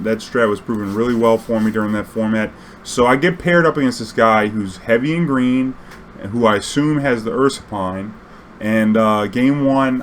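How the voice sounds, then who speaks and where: A man casually telling an anecdote in a slangy, swearing, animated way, acting out bits of dialogue. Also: fairly low pitch, a little hiss or hum, rough recording. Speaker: storyteller recounting his own tournament games.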